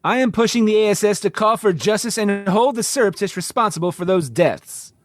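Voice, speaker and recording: An actor playing a self-important, easily flustered male starship captain in a comedic radio play, with a halting delivery. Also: high voice, animated, no echo, studio quality. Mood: defensive, hurried. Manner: halting, stammering when awkward. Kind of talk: comedic radio theater acting.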